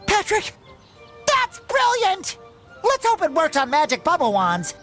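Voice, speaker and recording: A man voicing a cheerful, naive young sea sponge hero in a cartoon game, with a squeaky nasal voice and an earnest, excitable manner. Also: measured pace, soft background music, heavily acted. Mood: alarmed, excited. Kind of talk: animated cartoon game voice acting.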